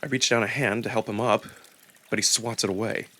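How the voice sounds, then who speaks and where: A man reading a visual novel aloud as narrator, in an expressive, deliberate first-person storytelling style. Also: lowish voice.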